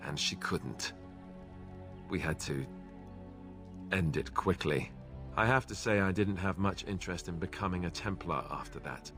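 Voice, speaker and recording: Young knightly recruit, lowish voice, dry wit, conversational, fantasy game dialogue, music behind. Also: male voice, a little slow, pauses often. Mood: sober. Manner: wry, sardonic, conversational. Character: young male warrior, ex-templar recruit, wry and self-deprecating.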